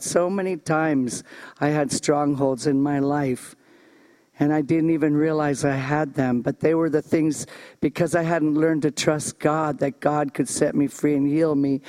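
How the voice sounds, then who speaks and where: A man giving an earnest testimony and joking asides at a church service. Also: a little high. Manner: earnest, self-deprecating, quick asides. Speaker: church service speaker and singer. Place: church service with live music. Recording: loud instrumental music behind.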